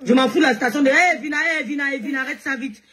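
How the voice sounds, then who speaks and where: A Cameroonian woman hosting a live stream, mocking and laughing as she rants and often shouts. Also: high voice, racing. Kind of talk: heated live-stream rant.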